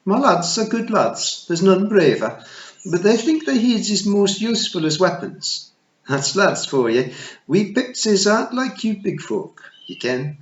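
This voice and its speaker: A man voicing a tiny, shrewd old female pixie chieftain with a broad Scottish burr, warm, teasing and commanding. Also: high voice, sing-song, measured pace, pitched above the performer's own voice. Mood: fond. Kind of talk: storybook reading. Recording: roomy, slightly dull recording.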